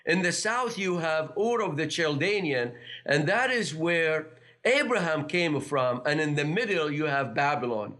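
A man's voice: fairly high pitch, animated, measured pace, sharp tone. A man from Iraq, a seminary professor, explaining things in a friendly way as a guest on a video call. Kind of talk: interview answers over a video call.

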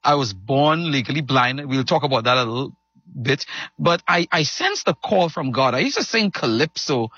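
A man from Trinidad with a Trinidadian accent, a pastor telling his story as a guest in animated, casual chat. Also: mid-range voice, moderate pace, husky, no echo, highs cut off. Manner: animated storyteller, laughing and acting out quotes.

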